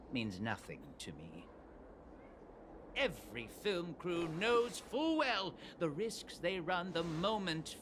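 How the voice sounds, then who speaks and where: An actor playing a passionate, defiant film director in a video game. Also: male voice, fairly high pitch, background noise. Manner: earnest, proud, dramatic. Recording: game audio with clear music.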